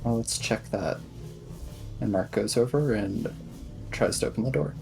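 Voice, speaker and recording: A man's voice: mid-range voice, measured pace, gravelly, dark tone, rough recording. A man improvising casually in character as a player in a tabletop roleplaying game. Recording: music bed behind the voice.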